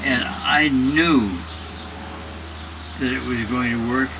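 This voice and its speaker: Older man, unhurried storyteller quoting old conversations, recorded at a distance over clear noise. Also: fairly even intonation. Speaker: former children's TV host. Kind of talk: casual storytelling. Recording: distant mic, loud vehicle-like background rumble.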